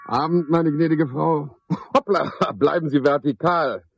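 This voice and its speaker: An actor playing a cynical, chatty night porter in a radio play, musing sardonically in clipped phrases. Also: male voice, fairly high pitch, a little slow, creaky, husky, exaggerated voice. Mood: mock-jovial. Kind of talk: 1970s German radio play monologue.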